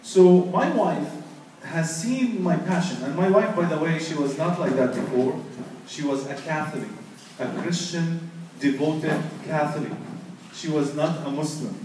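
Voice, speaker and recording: A man's voice: on the high side, measured pace, background noise. Man preaching in an echoey hall. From Egypt. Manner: emphatic, repetitive storytelling. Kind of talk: personal testimony talk to an audience. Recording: echoey hall, picked up from far off.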